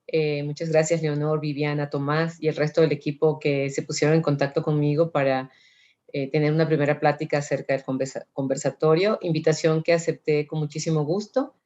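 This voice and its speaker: Low-voiced woman on a muffled call. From Venezuela. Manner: warm, chatty, with frequent fillers. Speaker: guest speaker, education researcher. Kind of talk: conversational panel talk.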